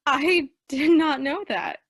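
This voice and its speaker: An actress playing a caring, practical older sister in a radio play, with a reassuring manner. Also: female voice, sits high, expressive, slow pace, fairly bright tone, no echo, studio quality, full character voice. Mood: amused.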